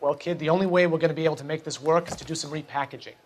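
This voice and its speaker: Man playing a high-voiced, smug gangster boss. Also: steady tone, rapid-fire, slight background noise, lightly acted. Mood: businesslike, slick. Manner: slangy, sardonic, wheedling tough-guy talk. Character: smug, menacing 1940s gangster boss, wisecracking. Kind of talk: video game crime drama acting.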